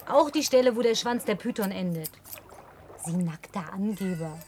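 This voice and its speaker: A woman's voice: mid-range voice, expressive, normal speed, heavy background noise, natural voice. An actress dubbing a lonely young woman who fussily orders her male guest around. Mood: teasing, commanding. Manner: prim, commanding, teasing. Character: lonely young woman, bossy fussy hostess. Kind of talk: German film dub.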